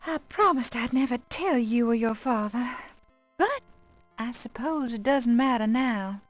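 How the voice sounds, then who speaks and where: A woman playing a kindly, wistful old grandmother in an adventure game, with a gentle aged voice and fond, expressive delivery. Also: fairly high pitch, measured pace, no room sound. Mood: wistful, confiding. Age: older. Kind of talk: voice acting in a 1990s adventure game. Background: game music.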